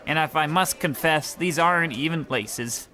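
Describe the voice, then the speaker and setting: A man's voice: a little high, normal speed, bright, forceful tone. A man playing a meek, miserable old postal clerk, fussy and quaintly polite, in an old-time radio comedy style. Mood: meek, bemused.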